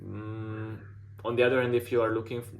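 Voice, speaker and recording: Non-native English-speaking man, design mentor, low monotone delivery, filler-heavy casual advice, faint noise on the line. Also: a little fast, vocal fry. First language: not English. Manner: frequent "like" fillers and restarts. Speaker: design mentor giving portfolio feedback. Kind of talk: casual feedback session.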